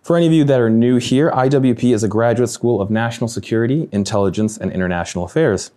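A man's voice: medium pitch, quick pace, dark tone. A man hosting a lecture, politely reading the welcome announcements and introducing the speaker, with a few fillers. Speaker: event host introducing the speaker.

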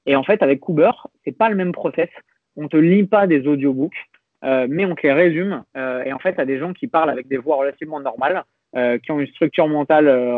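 A man's voice: a little high, no room sound. A young adult man, a podcast guest, talking in a reflective, hesitant way with many restarts. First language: French. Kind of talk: casual conversational interview. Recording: dry, phone-like narrow sound.

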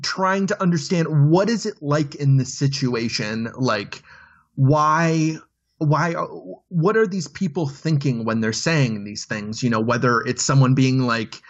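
A man on a film podcast, thinking aloud with many halting restarts. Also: mid-range voice, dull audio. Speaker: podcast panelist. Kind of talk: casual film discussion.

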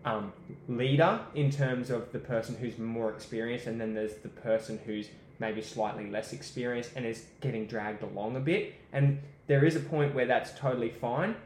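A man's voice: mid-range voice, fairly even intonation, normal speed, a little mellow, a little hiss or hum. Easygoing man, echoey room. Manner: easygoing, upbeat, many fillers like "you know". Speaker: guest interviewer. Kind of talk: casual podcast interview.

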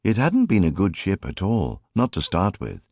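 A man reads literary fiction as an audiobook narrator. His voice is deep, with animated, expressive movement in pitch, and the recording is close and dry. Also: moderate pace, dry recording. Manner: expressive storytelling.

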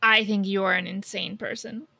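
Female co-host, crisp bright edge, fry-laden, emphatic casual chat, very dry recording. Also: mid-range voice, steady tone, normal speed, dry recording. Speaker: podcast co-host.

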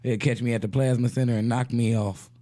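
A man with a raspy voice hosting a casual comedic radio show, joking and laughing often in slangy banter. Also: medium pitch, fairly even intonation, quick pace, dark tone.

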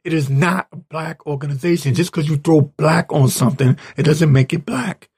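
A man with a raspy, hoarse voice giving an informal opinion rant as a commentator, halting, blunt and exasperated. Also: on the high side, darkish tone. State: hoarse, clearing his throat. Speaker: political commentator reading tweets and articles.

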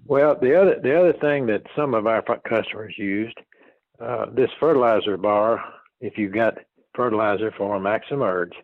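A man in his eighties reminiscing in a casual interview, speaking in a folksy, halting way and repeating words and phrases as he goes. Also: fairly low pitch, dry recording, narrow, tinny audio. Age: 80s. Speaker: interviewee, retired farm machinery dealer.

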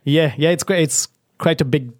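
Hesitant French man, smooth voice. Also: on the high side, normal speed, no echo, studio quality. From Paris, France. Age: 30s. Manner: hesitant, restarts and repeats words. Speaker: guest, business founder. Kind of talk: casual interview.

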